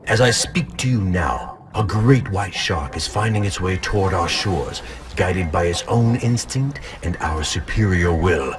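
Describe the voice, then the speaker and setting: A man's voice: low-pitched, expressive, a little slow, a little hiss or hum. An actor playing a cold, commanding male villain who leads an ocean cult. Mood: grandiose. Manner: commanding, grandiose speeches.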